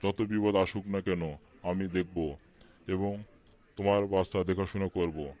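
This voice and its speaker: A man voicing a kind, protective talking tree in a cartoon, gentle and consoling. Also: low voice, fairly even intonation, measured pace. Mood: kindly. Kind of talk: cartoon voice acting.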